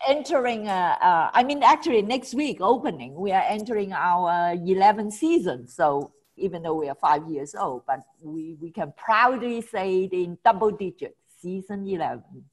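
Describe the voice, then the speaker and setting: A woman's voice: mid-range voice, lively intonation, moderate pace, few pauses. A middle-aged woman from Hong Kong, guest in a casual interview, talking with enthusiasm, many restarts and small laughs. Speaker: film festival founder, guest.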